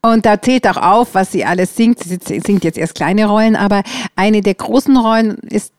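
A woman's voice: medium pitch, moderate pace, a little mellow, no echo, studio quality. A woman with a Bavarian accent hosting a casual interview, chatty and musing, rephrasing as she goes. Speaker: interviewer, host.

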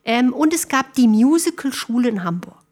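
A woman's voice: high voice, lively intonation, normal speed, a little mellow. A middle-aged woman, a singer, talking reflectively as a guest in a podcast interview.

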